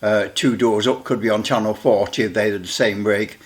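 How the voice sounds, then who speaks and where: A middle-aged or older man giving a casual hands-on demonstration, rambling a little, halting and often repeating himself. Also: lowish voice, fairly even intonation. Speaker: radio hobbyist presenter.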